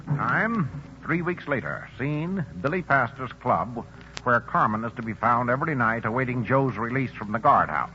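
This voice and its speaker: A man's voice: medium pitch, lively intonation, moderate pace, muffled recording, music in the background. A man formally announcing and setting scenes in a 1940s radio drama broadcast. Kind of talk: scripted announcing.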